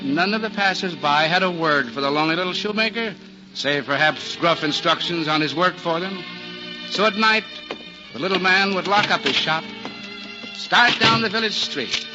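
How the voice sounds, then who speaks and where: Formal old-time radio narrator, man, over prominent music. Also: high-pitched. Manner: formal, measured storytelling. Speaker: narrator and announcer. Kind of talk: scripted 1940s radio drama narration. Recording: dull old radio recording.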